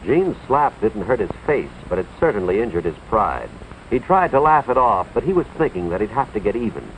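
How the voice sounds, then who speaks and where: An American man playing an earnest, authoritative school official, narrating a cautionary tale in a deliberate, moralizing 1950s educational film style, with dramatic emphasis. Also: on the low side, very animated, a little hiss or hum. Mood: grave, knowing. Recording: tinny, band-limited 1950s film soundtrack, music behind.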